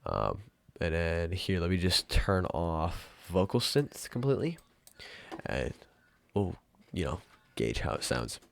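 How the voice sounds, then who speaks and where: Low-voiced young man, casual tutorial. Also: very animated, slow pace, creaky. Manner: informal, rambling, mild swearing. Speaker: tutorial host. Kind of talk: casual screen-capture software tutorial. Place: room at home.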